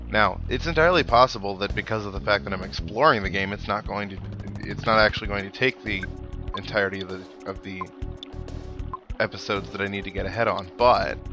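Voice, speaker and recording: A young man giving casual, rambling video game commentary with reflective asides. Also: fairly low pitch. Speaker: let's play host. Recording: voice-over mixed with game music. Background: video game music.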